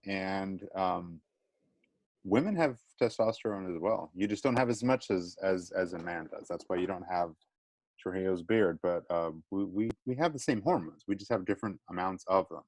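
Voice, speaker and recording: A man's voice: low-pitched, moderate pace, a little mellow, dry recording. A man teacher leading a small online class discussion, encouraging students and checking in often. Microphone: video call.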